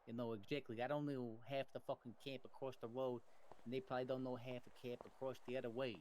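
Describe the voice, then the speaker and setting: A man's voice: medium pitch, flat delivery, quick pace, a little mellow. A man improvising as a mobster in a game roleplay, with winding, profane wise-guy phrasing, over noisy voice chat. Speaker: player in a crime roleplay game. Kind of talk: improvised in-character mobster talk.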